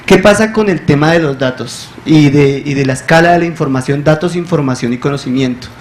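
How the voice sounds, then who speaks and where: A man from Colombia, a librarian commenting from the floor of a conference. His voice sits a little high and carries with roomy echo and a faint hiss behind it. He speaks earnestly and deliberately, restarting phrases as he goes. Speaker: librarian asking from the audience. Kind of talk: audience comment at a symposium. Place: conference hall. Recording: echoey hall with faint noise.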